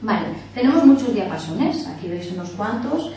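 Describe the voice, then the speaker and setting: A woman's voice: medium pitch, animated, normal speed. Echoey woman teaching from afar. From Barcelona area, Spain. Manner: explanatory, checks in often with "¿vale?", demonstrating with hands. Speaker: workshop instructor. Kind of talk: informal teaching talk to a small group. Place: small indoor meeting room. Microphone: far camera. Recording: echoey room from far off, faint hiss.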